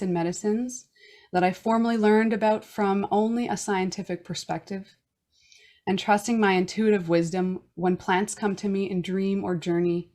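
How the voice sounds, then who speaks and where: A woman from Newfoundland hosting a wellness podcast, reading a reflective personal statement in a slow, earnest way. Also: mid-range voice, darkish tone. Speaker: podcast host. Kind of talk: reading a personal land acknowledgment and intro.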